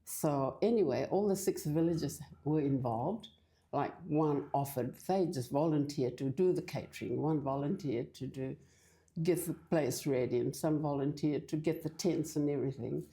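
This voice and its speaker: An older woman from Fiji, talking in a halting, hesitant way as a guest in a casual church interview. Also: deep voice, dark tone. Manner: hesitant, halting with many restarts.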